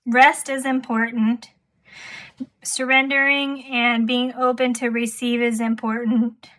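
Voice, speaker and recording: A woman giving an intuitive tarot reading, calm and soft-spoken, her voice slightly hoarse from talking. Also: fairly high pitch, takes it slowly, poor audio. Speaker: tarot reader.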